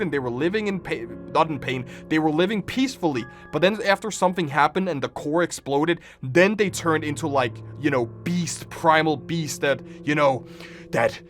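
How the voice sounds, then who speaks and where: A man's voice: on the high side, fairly bright tone. A man hosting a reaction video, joking and thinking aloud as he comments casually and reads captions out. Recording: music playing behind the voice. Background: background music.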